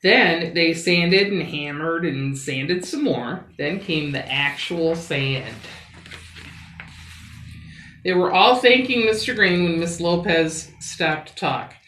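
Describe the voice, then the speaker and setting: A woman reading a picture book aloud expressively, with dramatic pauses and emphasis. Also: fairly low pitch, fairly bright tone. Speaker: children's story reader. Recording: roomy, echoing sound.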